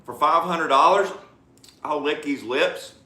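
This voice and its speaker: A man co-hosting a heated casual debate, loud and mocking, with a drawl. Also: on the high side, measured pace, echoey room.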